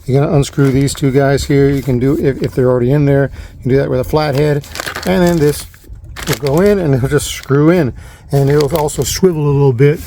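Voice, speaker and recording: A man's voice: medium pitch, brisk, warm tone. A man narrating a casual hands-on how-to in an informal, rambling way, repeating himself as he goes. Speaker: vlogger showing a DIY installation. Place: inside and around a parked van. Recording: noisy handheld recording with clicks.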